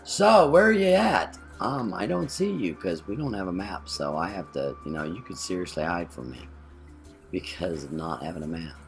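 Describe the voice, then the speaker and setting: Deep-voiced man thinking aloud over gameplay. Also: animated, moderate pace, creaky, faint music behind. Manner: thinks aloud while playing, mid-sentence self-corrections. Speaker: gameplay channel co-host. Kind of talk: casual gameplay commentary.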